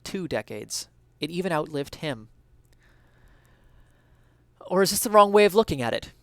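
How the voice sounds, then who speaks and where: Man, smooth clear voice, lightly raised pitch, dramatic essay reading, earnest theatrical phrasing, close dry sound. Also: very animated, moderate pace, no echo. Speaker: reader of an essay.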